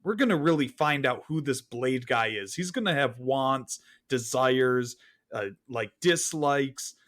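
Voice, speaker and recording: A man's voice: medium pitch, unhurried, a bit bright. A man speaking as a podcast guest in a casual film review chat, candid and conversational with rhetorical asides.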